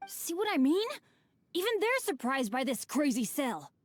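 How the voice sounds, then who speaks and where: A woman's voice: high voice, moderate pace. A woman voicing an earnest, upbeat, good-natured teenage boy adventurer in an anime-style video game, with a boyish voice. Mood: relieved, easygoing. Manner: eager, good-natured, expressive.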